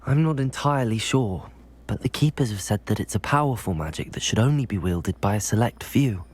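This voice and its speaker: Low-voiced, cautious young student wizard. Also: male voice, lively intonation, fairly quick, darkish tone, slightly rough. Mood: confiding, careful. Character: calm, cautious young student wizard hero with secret powers. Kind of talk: video game cutscene dialogue.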